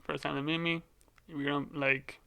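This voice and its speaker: Young man, stutters, clear bright tone, relaxed storyteller for a podcast chat. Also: fairly high pitch, normal speed. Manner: stutters, repeats words. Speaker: podcast guest.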